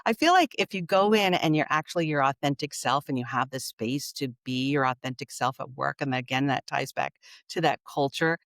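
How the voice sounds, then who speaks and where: A woman's voice: very deep voice, brisk, few pauses, fairly bright tone, dry recording. Woman with a Californian accent, dry sound. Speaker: podcast guest, culture strategist. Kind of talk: casual interview conversation.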